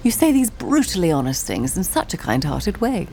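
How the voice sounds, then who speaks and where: An actress playing a proud, sardonic, cultured nineteenth-century woman music teacher in an audio drama, with a haughty, dramatic, sharp-tongued manner. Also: on the low side, sing-song, moderate pace, vocal fry, slight background noise, low-quality recording. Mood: disdainful, wry. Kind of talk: audio drama acting with confessional narration.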